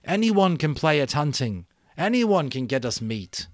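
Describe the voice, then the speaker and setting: Schoolboy leader for audiobook male narrator performing: earnest, frustrated, emphatic, raised pitch, very animated. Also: unhurried, no room sound, clean studio sound. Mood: pleading, urgent. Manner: urgent, emphatic pleading. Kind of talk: dramatic audiobook character reading.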